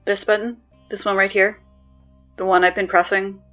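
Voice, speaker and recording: A woman playing a weary, sardonic high school morning announcer in a scripted audio drama. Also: normal speed, lo-fi sound, faint music behind, natural voice. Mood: exasperated. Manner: sardonic, deadpan, exasperated asides.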